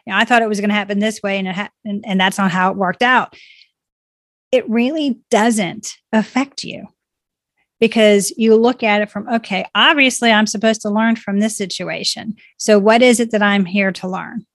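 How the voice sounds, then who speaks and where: A woman talks earnestly and encouragingly about growth, speaking in positive affirmations. Her voice sits at an ordinary middle pitch. The recording is dry and close, with no room sound. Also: fairly even intonation, moderate pace, no echo. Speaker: guest speaking on self-growth. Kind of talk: reflective conversation.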